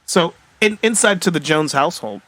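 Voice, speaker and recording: A man's voice: a little high, expressive, normal speed, sharp tone. A man co-hosting a podcast, chatting casually and telling informal anecdotes in which he acts out bits of conversation. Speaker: podcast co-host. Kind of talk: casual chat.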